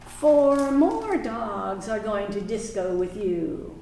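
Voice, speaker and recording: A woman reading a picture book aloud to small children at a library storytime, singing the refrains. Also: medium pitch. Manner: slow, singsong, animated for small children. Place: library room. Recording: echoey room, faint background noise.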